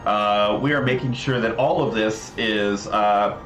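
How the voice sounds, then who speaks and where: A man's voice: fairly low pitch, unhurried, fairly bright tone. Man giving a casual demo, roomy sound. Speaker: host demonstrating a game project. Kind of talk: casual livestream demo commentary. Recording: roomy echo, music behind. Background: game music.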